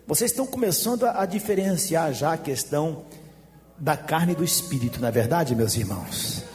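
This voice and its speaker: A man, preaching a sermon as a pastor. He swings from shouts to quiet asides and jokes. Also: fairly high pitch, animated, fairly quick. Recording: long hall reverb with faint music.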